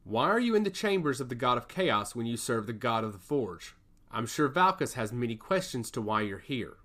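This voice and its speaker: A man voicing an imperious, scornful immortal goddess in a fantasy story, with a sharp manner. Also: medium pitch, sing-song, moderate pace. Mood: stern, imperious. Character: haughty, scornful goddess of law, an immortal woman. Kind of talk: fantasy audio story dialogue.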